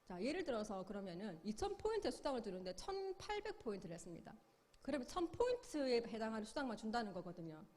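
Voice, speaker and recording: Woman, middle-aged, seminar speaker, animated delivery, long hall reverb. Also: fairly high pitch, fast. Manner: upbeat, explains with questions and acted-out quotes. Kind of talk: sales seminar lecture.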